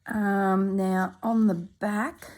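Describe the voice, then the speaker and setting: A woman narrating her crafting work in a soft, relaxed voice, sometimes dropping to a whisper, congested and clearing her throat. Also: mid-range voice, extremely slow. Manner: soft-spoken, often drops to a whisper while working. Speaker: craft video maker.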